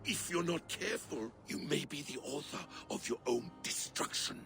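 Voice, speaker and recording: Mocking trickster god, animated, gravelly, game dialogue, faint music. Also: male voice, a little high, normal speed. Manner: sneering, mocking. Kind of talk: video game voice acting.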